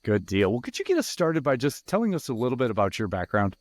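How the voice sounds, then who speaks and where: A man hosting a podcast interview, friendly and relaxed, often pausing with "uh" fillers. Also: mid-range voice, dry recording, clean studio sound. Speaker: podcast host.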